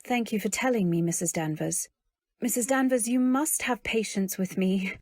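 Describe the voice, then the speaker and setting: A woman playing a timid, earnest young wife who tells her tale in an old-time radio drama, gentle and polite. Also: medium pitch, normal speed, no room sound, studio quality, close to an everyday voice. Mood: apologetic, earnest. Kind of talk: old-time radio drama, first-person narration and dialogue.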